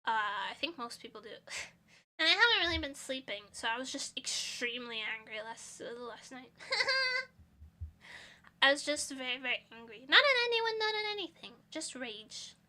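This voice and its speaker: Giggly young woman streaming, high bright voice, chats w chat, gets loud then mumbly. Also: big pitch swings, moderate pace. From Portugal. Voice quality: giggly, chatty, drifts between loud bursts and soft mumbles. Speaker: vtuber streamer. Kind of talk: casual stream chat answering chat.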